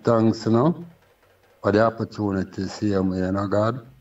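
A man's voice: fairly low pitch, fairly even intonation, normal speed, a little mellow. Older Jamaican man, distant dull sound. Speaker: Rastafarian priest, guest. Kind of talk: casual reasoning, reverent.

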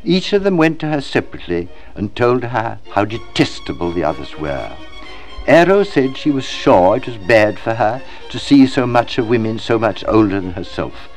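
A man reading literary fiction aloud as scripted narration, in a measured, dramatic storytelling manner. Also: fairly low pitch, very animated, a little mellow, soft background music. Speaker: audiobook reader.

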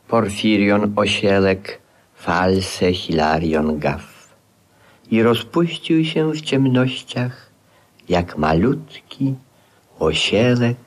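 An actor playing a wistful, poetic storyteller, narrating a radio play in a lyrical, drawn-out manner. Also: male voice, on the low side, animated, exaggerated voice.

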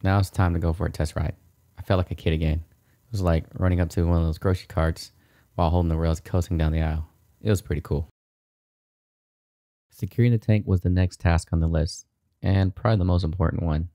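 A man's voice: low voice, fairly even intonation, brisk, long pauses, warm tone, no echo, studio quality. A man in his thirties hosting a DIY video, narrating a how-to in a casual, informal, explanatory way.

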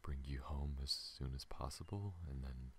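A man voicing a gentle, shy, apologetic alien in an intimate ASMR roleplay, soft-spoken and hesitant, often slipping into a whisper. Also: extremely low pitch, moderate pace, slight background noise. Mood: tender, earnest.

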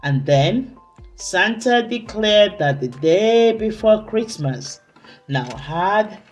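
A woman reading a children's story aloud in an expressive, dramatic, deliberate way. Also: mid-range voice. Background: soft music.

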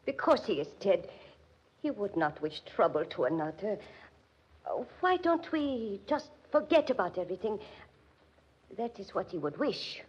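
A gentle, evasive German widow in a vintage radio mystery. Her German-accented voice is husky and sits at a middling pitch. She speaks haltingly and anxiously, and the old recording is dull and muffled. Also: sing-song. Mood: pleading. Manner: halting, pleading, formal foreign phrasing. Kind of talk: 1940s radio acting.

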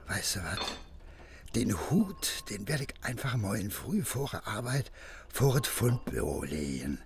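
An actor playing a poor, simple-hearted porter with a Berlin accent in a German audio drama, colloquial, hesitant and excitable, with an anxious streak. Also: male voice, lowish voice, unhurried, noisy background, full character voice. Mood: wheedling. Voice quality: gravelly, husky.

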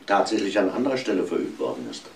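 An actor playing a calm, courteous investigator who gently probes in a crime radio drama. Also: male voice, low voice, quick pace. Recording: distant mic, faint noise.

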